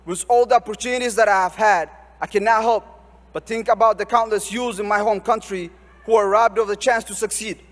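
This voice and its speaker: A man's voice: high voice, fairly even intonation. Earnest young man. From Oromia, Ethiopia. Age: young adult. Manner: earnest, measured, formal. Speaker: student commencement speaker. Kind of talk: prepared commencement speech. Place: large ceremony hall. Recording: long hall reverb.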